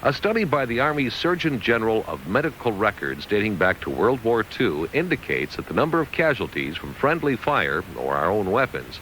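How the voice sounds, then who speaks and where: A man's voice: lowish voice, very animated, normal speed, hardly any pauses, fairly bright tone, slight background noise, low-quality recording. An American man anchoring radio news, reading scripted headlines and weather.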